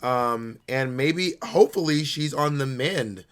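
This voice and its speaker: A man's voice: medium pitch, takes it slowly, clean tone. A man co-hosting a podcast in casual chat, with a teasing, sassy way of talking. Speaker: podcast co-host.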